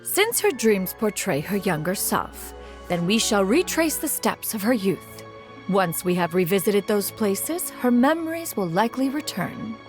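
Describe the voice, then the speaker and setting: An actress voicing a formal, self-assured immortal expert in a video game, who speaks in an archaic way and calls herself "one". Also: female voice, mid-range voice, very animated, a little slow. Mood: composed. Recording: soft music behind.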